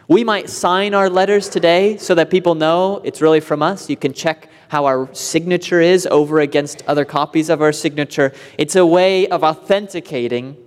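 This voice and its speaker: Earnest preacher in a reverberant hall. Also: male voice, fairly high pitch. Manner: earnest, explanatory, rising emphasis on key points. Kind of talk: expository sermon.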